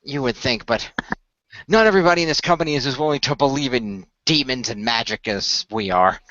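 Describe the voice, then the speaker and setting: Male game master, forceful and explanatory, improvising scenes. Close, dry sound. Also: mid-range voice, brisk, no echo, highs cut off. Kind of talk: improvised storytelling and in-character talk for an audio role-play.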